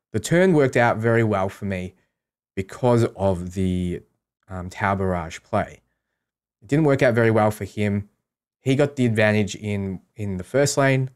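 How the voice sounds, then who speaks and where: A man's voice: on the low side, a little mellow. A man giving casual, explanatory commentary on a game, talking through his strategy as a streamer.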